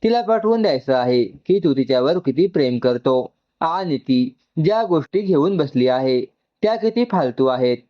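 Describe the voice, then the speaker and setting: A man's voice: fairly high pitch, lively intonation, moderate pace, highs cut off, close to an everyday voice. A man voicing a supportive, practical young woman in an audio storybook. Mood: encouraging.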